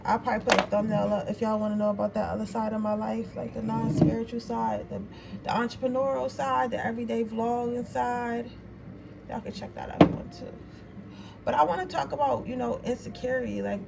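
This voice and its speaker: A young woman with a raspy, gravelly voice talks through a tarot reading in a loose, rambling, slangy way. Her voice sits somewhat high. She is a bit away from the mic, with steady noise in the room. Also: far from the mic. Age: 20s. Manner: chatty, rambling and informal, with slang. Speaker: tarot reader. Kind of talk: casual tarot reading chat.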